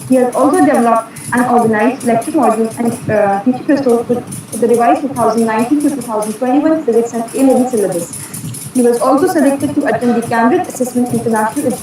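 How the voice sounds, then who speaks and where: Woman reading a formal intro for a webinar, very echoey, off the mic, noisy. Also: on the high side. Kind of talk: formal scripted introduction, read out. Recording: very echoey, distant mic, clear background noise.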